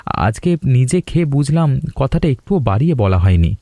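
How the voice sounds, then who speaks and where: A man's voice: medium pitch, big pitch swings, normal speed, mellow, no echo, lightly acted. A man playing a polite, grateful young male traveller from the city in a cartoon dub.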